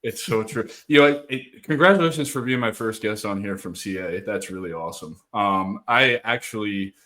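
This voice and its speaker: A man's voice: on the low side, normal speed, sharp tone. A man hosting a podcast, himself an Army veteran, chatting in an easygoing, chummy way and laughing readily. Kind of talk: casual conversational interview.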